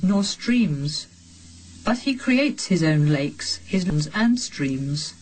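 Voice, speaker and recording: Slow, measured woman reading scripture. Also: mid-range voice, darkish tone, husky, rough recording. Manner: measured, deliberate phrasing with pauses. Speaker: reader of a sacred text translation.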